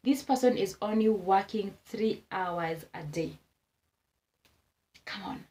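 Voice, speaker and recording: Kenyan woman giving animated money advice. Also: medium pitch, takes it slowly. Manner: repeats phrases for emphasis, animated exclamations. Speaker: vlogger. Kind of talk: casual advice talk to camera.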